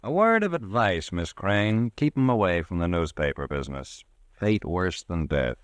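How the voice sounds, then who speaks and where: A man's voice: low-pitched, lively intonation, moderate pace, no room sound. A man playing a dry, cynical newspaper columnist in a 1940s radio drama. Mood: sardonic. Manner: dry, sardonic.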